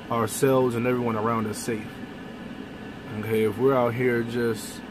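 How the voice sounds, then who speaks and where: A young man, a truck driver, talking bluntly and with animation to a phone camera from his truck's sleeper cab. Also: medium pitch. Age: young adult. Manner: blunt, animated, with mild cursing. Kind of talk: casual vlog monologue. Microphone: phone camera close up. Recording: road and air-conditioning noise in truck cab. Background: vehicle and air-conditioning hum.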